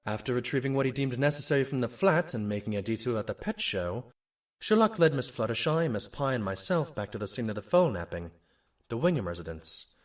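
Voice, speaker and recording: A man's voice: mid-range voice, very animated, fairly quick, natural voice. A man playing an earnest, compassionate doctor pony, the detective's companion, in a scripted audio drama. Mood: subdued narration.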